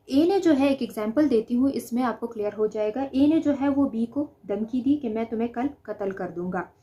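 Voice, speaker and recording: Mellow-voiced woman giving a lecture. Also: fairly high pitch, poor audio. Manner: didactic, poses questions and answers them herself; repeats key phrases for emphasis. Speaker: law lecturer. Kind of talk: explanatory lecture with simple examples.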